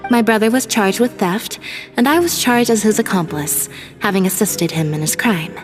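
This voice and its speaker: A woman voicing a calm, dry-witted young female magician's assistant in a video game, composed and deadpan. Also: medium pitch, normal speed, faint music behind, close to an everyday voice. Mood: matter-of-fact.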